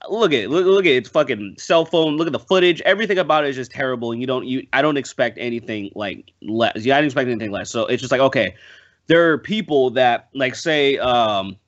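A man's voice: medium pitch, animated, fast talker, muffled recording. A man chatting casually as a podcast guest, emphatic and profane, often repeating himself. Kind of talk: casual panel chat.

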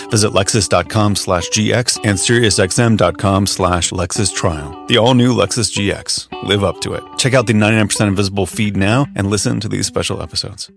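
Low gravelly man reading an ad. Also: a little fast, hardly any pauses, fairly bright tone, soft background music. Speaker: podcast host reading an ad. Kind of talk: scripted ad read.

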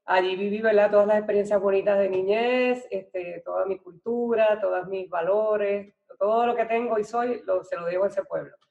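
A woman from Puerto Rico with a Puerto Rican accent, a finance expert guest telling her life story in a relaxed, conversational way. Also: mid-range voice, normal speed, creaky, hardly any pauses, dull audio. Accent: Puerto Rican Spanish. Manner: relaxed, conversational, with "pues" and "este" fillers. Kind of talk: interview storytelling.

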